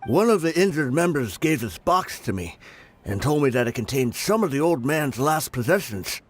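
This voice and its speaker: A man's voice: medium pitch, very animated, normal speed, soft background music. A man playing a plain-spoken, conciliatory male mercenary in a video game, explaining himself in a resigned, matter-of-fact way. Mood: resigned, explanatory.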